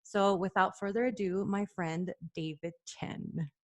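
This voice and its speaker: A woman's voice: medium pitch, fairly even intonation, unhurried. Upbeat woman hosting a podcast. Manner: upbeat, enthusiastic introductions. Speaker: podcast host. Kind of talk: casual interview.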